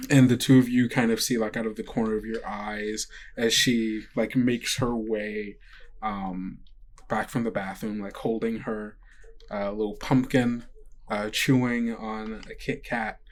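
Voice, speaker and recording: A man's voice: lowish voice, flat delivery, unhurried. A man narrating a tabletop game as game master, improvising hesitantly in a casual way. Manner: hesitant, frequent "like" and "kind of".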